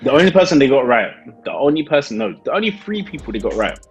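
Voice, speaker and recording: A man's voice: fairly high pitch, very animated, fast talker, husky, faint music behind. A man giving blunt, opinionated takes in casual chat. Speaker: podcast panel member.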